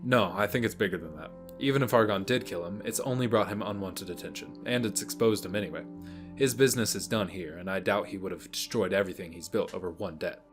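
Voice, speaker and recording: A man playing an earnest, analytical monk detective in an amateur sci-fi audio play, reasoning in a measured way. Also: sits low, lightly acted. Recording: music under the voice.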